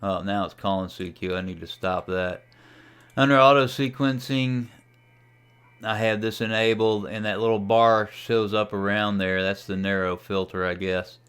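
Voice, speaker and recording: A man's voice: lowish voice, fairly even intonation, normal speed, a bit bright, smooth. A man giving a casual, unscripted screen-share tutorial as a radio hobbyist, thinking aloud as he operates. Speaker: amateur radio hobbyist demonstrating software.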